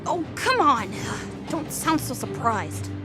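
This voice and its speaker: Woman as a high-voiced, cool young swordsman boy. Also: big pitch swings, slow pace, over music, heavily acted. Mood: dismissive, offhand. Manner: light, casual, offhand. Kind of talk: anime-style audio drama acting.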